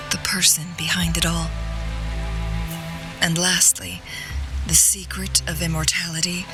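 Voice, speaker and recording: A woman playing a composed, courteous strategist in a video game, speaking in a poised, measured, formal way with gentle teasing. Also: on the low side, close to an everyday voice. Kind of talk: video game story dialogue.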